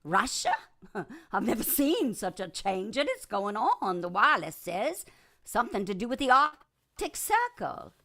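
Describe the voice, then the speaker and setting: A woman's voice: fairly high pitch, very animated, measured pace, pushed and bright, heavily acted. A woman acting in a radio drama as a chatty, mocking, good-humored country farmer's wife.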